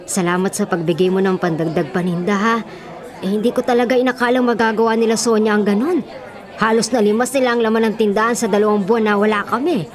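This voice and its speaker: A woman playing a concerned, gentle middle-aged mother in a Filipino radio drama, speaking with a Filipino accent in a motherly, plaintive way. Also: mid-range voice, steady tone, fast, a faint crowd, lightly acted. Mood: resigned, sad.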